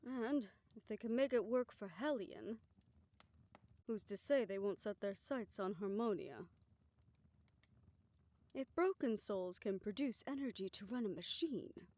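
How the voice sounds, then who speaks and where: A woman performing a scripted audio roleplay, voicing several characters in a soft, hushed way that often drops to a whisper. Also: medium pitch, no room sound.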